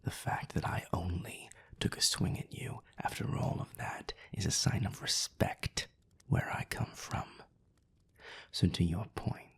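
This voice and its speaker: A man voicing a haughty, scornful vampire with a raspy, breathy voice in a scripted audio drama. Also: low-pitched, measured pace, whispered, exaggerated voice. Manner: haughty, cutting, sardonic. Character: scornful, condescending male vampire, bitter rival. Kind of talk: scripted audio roleplay acting.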